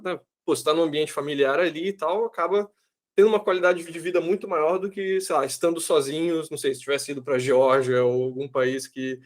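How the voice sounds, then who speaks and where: A man hosts a podcast in an animated, slangy way. His voice sits a little high for a man. He slips into playful quoted voices as he makes his points. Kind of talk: casual interview chat.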